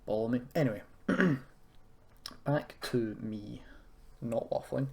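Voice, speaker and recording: A man giving a casual software tutorial, rambling and thinking aloud with self-deprecating asides. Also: medium pitch, expressive, slow. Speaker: tutorial host. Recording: clearly noisy, keyboard clicks and typing. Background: background noise, computer keyboard and mouse clicks.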